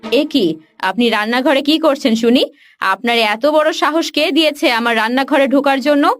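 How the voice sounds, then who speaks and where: A harsh, sharp-tongued young wife from a cartoon, acted by a woman. She speaks in a high voice with a scolding, domineering edge, over faint music. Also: a little fast, close to an everyday voice. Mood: scornful, accusing. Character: harsh, scornful, domineering daughter-in-law.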